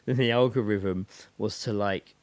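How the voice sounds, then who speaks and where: A man's voice: fairly low pitch, steady tone, normal speed, no echo. A man co-hosting a podcast, chatting casually in a matey, rambling, sometimes sweary way. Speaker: podcast co-host. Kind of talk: casual banter chat.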